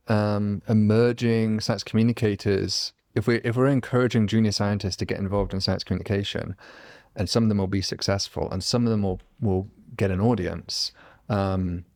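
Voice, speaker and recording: Low gravelly man hosting a podcast. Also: a little mellow. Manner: earnest and reflective. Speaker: podcast host. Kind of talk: casual podcast conversation.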